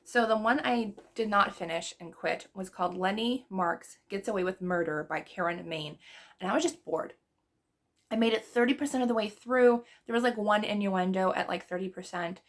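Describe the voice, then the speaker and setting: Chatty woman slowly losing her voice. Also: medium pitch. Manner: chatty, rambling, self-deprecating laughs. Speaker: book vlogger. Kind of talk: casual reading wrap-up chat.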